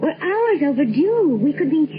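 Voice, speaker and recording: A woman's voice: high voice, very animated, moderate pace, noisy background. A woman playing a frightened, devoted young woman lost in a small plane, acting earnestly and emotionally in a 1970s radio drama. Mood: anxious, devoted. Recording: phone-like old radio, loud music behind.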